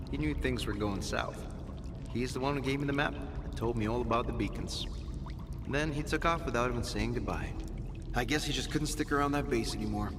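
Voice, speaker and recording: A man's voice: medium pitch, lightly acted. Man acting cynical swamp guide in echoing noise. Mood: somber. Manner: slangy, gruff, grumbling. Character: shady, foul-mouthed swamp guide, cynical, weary male survivor. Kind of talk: video game cutscene acting.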